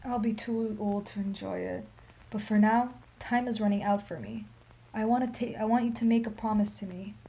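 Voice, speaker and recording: An actress playing a sorrowful, dignified old Armenian woman in a radio play, telling her story in a solemn, measured, emphatic way. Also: mid-range voice, steady tone, gravelly, mellow, a little hiss or hum, close to an everyday voice. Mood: resolute, forgiving.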